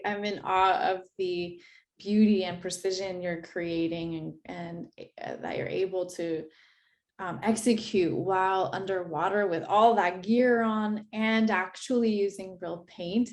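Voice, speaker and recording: A woman's voice: mid-range voice, fairly even intonation, a little slow. Effusive woman interview host. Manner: effusive, repeats words. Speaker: interview host, art school founder. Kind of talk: casual interview.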